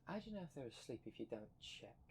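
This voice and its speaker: A man's voice: mid-range voice, big pitch swings, quick pace, slight background noise. A man playing the impatient, sarcastic half of a dream demon in an ASMR roleplay, blunt and slangy. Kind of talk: ASMR roleplay audio drama.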